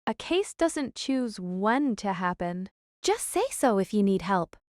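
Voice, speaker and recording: A woman's voice: mid-range voice, big pitch swings, takes it slowly, darkish tone, no room sound, studio quality. A woman playing a dutiful, earnest young female city police officer in an audio drama. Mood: quiet, concerned.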